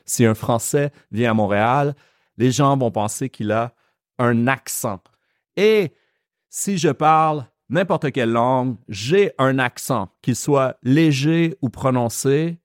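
A man with a Quebec French accent hosting a podcast lesson, explaining slowly and carefully. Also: mid-range voice, no room sound, clean studio sound. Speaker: French teacher and podcast host.

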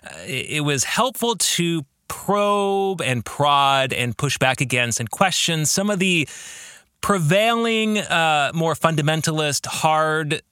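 Bright-voiced man with animated, swooping pitch. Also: takes it slowly, clean tone, dry recording, clean studio sound. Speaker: podcast host and author. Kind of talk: reflective book discussion addressed to listeners.

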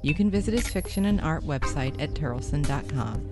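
A woman's voice: low-pitched, lively intonation, brisk. A woman presenting a podcast, reading scripted announcements as host. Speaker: short story podcast host. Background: music.

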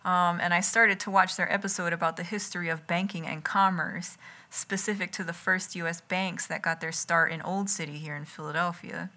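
A woman reading her own writing aloud as an ASMR read-along, in a deliberate, literary manner with wry asides, close to the mic in a home kitchen. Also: on the low side, fairly even intonation, bright, forceful tone. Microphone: close enough to catch breath and lips.

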